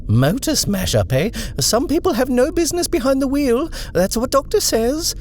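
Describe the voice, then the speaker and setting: A man voicing a brisk, brusque housekeeper woman with a clipped, barking manner. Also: extremely high pitch, very animated, a little mellow, a little hiss or hum, voice pushed up. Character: brisk, brusque middle-aged female housekeeper-driver.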